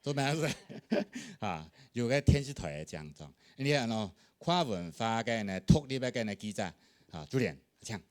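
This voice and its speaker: Lively, joking male event moderator. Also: mid-range voice, moderate pace, dry recording. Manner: jokey, chatty, animated. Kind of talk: casual banter.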